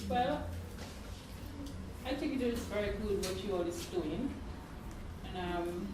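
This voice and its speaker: A woman's voice: mid-range voice, lively intonation, slow. Earnest woman, very echoey room. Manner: plain-spoken, earnest. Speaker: parent in a community group. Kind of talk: earnest spoken testimony. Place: indoors at a community centre, distant mic. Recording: echoey room from far off, noisy.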